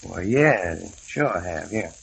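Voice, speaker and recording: An American actor playing a friendly, inquisitive young cowboy in an old-time radio western. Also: male voice, sits low, expressive, measured pace, gravelly, a bit bright, a little hiss or hum, heavily acted, low-quality recording. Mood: easygoing. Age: young adult.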